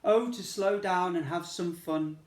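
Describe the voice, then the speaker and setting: A wistful male rocking horse from a picture book, voiced by a man, pitched a touch above a normal speaking voice. He sings out his wishes in a slow, sing-song way. The sound is echoey and roomy.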